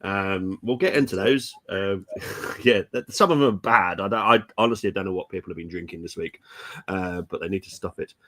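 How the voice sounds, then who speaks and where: A deep-voiced man hosts a football stream in casual chat. He sounds congested from a cold and talks bluntly, with opinionated, jokey grumbling. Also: a little fast, a bit bright. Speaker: football podcast host.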